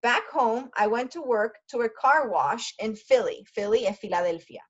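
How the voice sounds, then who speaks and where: A woman's voice: fairly high pitch, normal speed. Woman teacher reading aloud, dull lo-fi sound. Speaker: literacy teacher reading aloud to English learners. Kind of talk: read-aloud lesson with quick explanatory asides.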